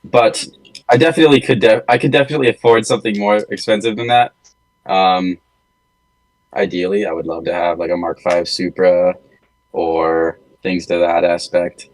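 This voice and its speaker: A man's voice: low-pitched, steady tone, moderate pace, rough recording. A young American man chatting casually as a podcast co-host, with an informal, slangy, bro-ish way of talking. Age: young adult. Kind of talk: casual chat.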